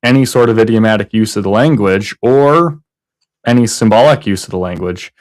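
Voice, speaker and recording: A man co-hosting a live reaction stream, talking casually and acting out his opponents' replies. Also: a little fast. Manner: animated, mimics opponents in a higher voice.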